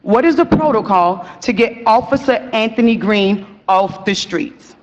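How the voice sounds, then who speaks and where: A woman's voice: medium pitch, animated, measured pace. A young woman speaking at a podium in a council chamber, pressing urgent, repeated questions. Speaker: victim's sister at council meeting. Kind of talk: public comment speech. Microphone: podium mic. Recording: dull meeting audio with long hall reverb.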